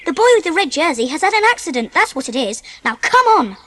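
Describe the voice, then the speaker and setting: A woman playing a bold, bossy child adventurer with an English accent, brisk and no-nonsense, in a dramatised audiobook. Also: sits high, sing-song, a bit bright, in a large hall.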